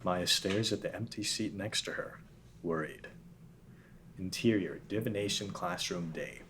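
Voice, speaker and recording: A man's voice: sits low, measured pace, a bit bright, a little hiss or hum. A man narrating a script at a table read, reading the stage directions plainly.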